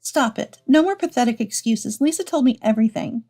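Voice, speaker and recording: An earnest, wounded wife and mother, acted by a woman narrator, speaks pleadingly in a story drama. The voice is fairly high and soft-toned. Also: animated, fairly quick, soft background music. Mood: hurt, confronting. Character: adult wife and mother, hurt but protective.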